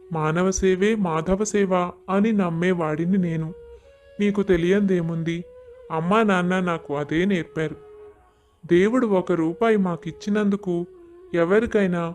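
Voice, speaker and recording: A man's voice: sits high, darkish tone, lightly acted. A man playing a kind, principled young man in a moral story, earnest and respectful. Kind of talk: animated moral story dialogue.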